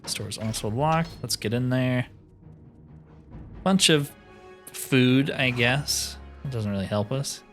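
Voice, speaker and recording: A man's voice: medium pitch, takes it slowly, pauses often, a bit bright, soft background music. A man giving casual gameplay commentary, thinking aloud with mild slangy exclamations. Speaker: let's player narrating a roleplay playthrough.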